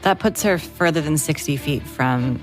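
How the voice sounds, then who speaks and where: A woman's voice: sits low, normal speed. A woman playing a tabletop game, chatting casually about what is happening. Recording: music under the voice.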